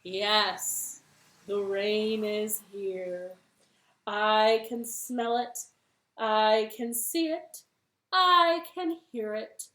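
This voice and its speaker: A woman voicing a calm male lion who speaks in a slow, purring way in an echoey room. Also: medium pitch.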